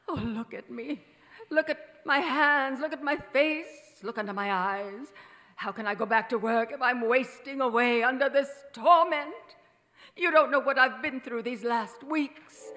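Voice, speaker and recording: In a reverberant hall, an aging silent film diva for a radio play. The voice is high and sharp, with music around it. Every phrase is theatrical, desperate and pleading. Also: female voice. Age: middle-aged. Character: desperate, grandiose faded silent film star woman. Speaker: stage radio actress. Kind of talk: old-time radio drama acting. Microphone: vintage-style stand microphone. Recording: hall reverb, live stage performance.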